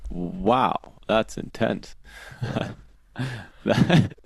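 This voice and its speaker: A man with a very low voice chats casually as a podcast co-host, playful and quick to laugh. His sound is dry and close, with almost no room around it. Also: gravelly, husky, no echo. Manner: playful, laughs often, quotes sayings. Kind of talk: casual chat. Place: home studio on video call. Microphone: video call setup.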